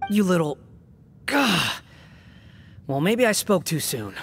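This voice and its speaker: A man's voice: high-pitched, very animated, slow pace, creaky, a bit bright, slight background noise, exaggerated voice. A man voicing a proud, aloof young wanderer in a video game, curt and exasperated but grudgingly kind. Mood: flustered, annoyed.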